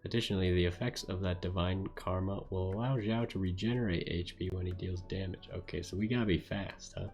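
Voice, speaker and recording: A man's voice: deep voice, steady tone, fairly quick, hardly any pauses, a little mellow, dull audio. A man streaming a video game, giving laid-back casual commentary as he muses aloud.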